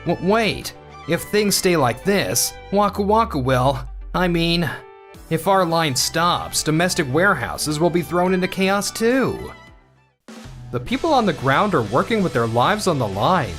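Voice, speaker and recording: A man's voice: a little high, very animated, measured pace, fairly bright tone, faint music behind. Man playing defensive, swooping young executive. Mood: desperate. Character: arrogant, careless young company-president son, easily panicked. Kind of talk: manga dub voice acting.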